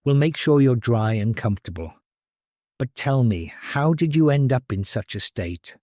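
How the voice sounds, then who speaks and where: A man voicing a kindly, shrewd queen mother in a fairy tale, with a warm, knowing manner. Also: mid-range voice, animated, normal speed, no echo, studio quality, lightly acted.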